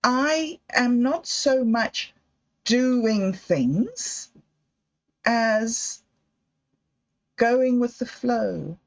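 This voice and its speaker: A middle-aged woman hosting a livestream, telling personal stories in a slow, thoughtful, reflective way. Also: on the high side, animated. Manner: slow, thoughtful storytelling with emotional quotes. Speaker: livestream host. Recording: dull lo-fi livestream audio.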